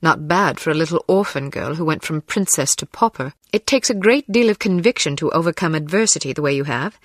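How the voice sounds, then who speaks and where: An actress playing a composed, defiant young woman spy, measured and dryly needling, in a video game. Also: low-pitched, expressive, no room sound. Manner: measured, dry, unintimidated.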